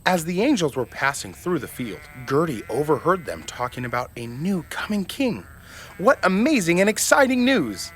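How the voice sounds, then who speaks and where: A man narrating a scripted family story in an expressive storytelling manner. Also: a little high, moderate pace, slight background noise.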